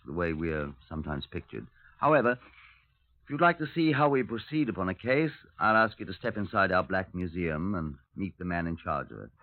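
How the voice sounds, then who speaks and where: An actor playing a dry, measured British police superintendent, narrating a 1950s radio drama with understatement. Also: male voice, low-pitched, no room sound. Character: dry, matter-of-fact Scotland Yard police superintendent. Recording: noisy, muffled narrowband vintage radio recording.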